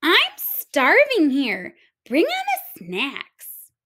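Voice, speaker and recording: A woman's voice: high-pitched, huge pitch swings, drawn-out words, bright, forceful tone, pitched above the performer's own voice, full character voice. A woman voicing a bossy, self-centered big little girl in a storybook, with a whiny, demanding, exclamatory manner. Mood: eager, greedy.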